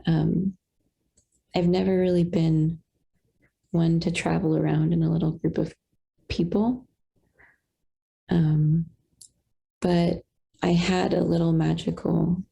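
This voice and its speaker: Gravelly, husky young woman on a call. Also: sits low, warm tone. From Colombian roots. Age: young adult. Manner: reflective, halting, many fillers. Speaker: satsang participant. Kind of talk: casual sharing on a video call. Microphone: video call.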